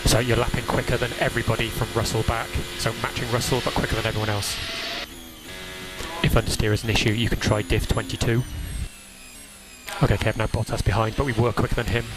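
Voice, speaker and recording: A man's voice: on the low side, flat delivery, fast talker, loud music behind the voice. A man working as a race engineer, giving clipped, procedural instructions over team radio and repeating key calls. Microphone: radio headset. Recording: noisy motor racing team radio. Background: loud engine and track noise.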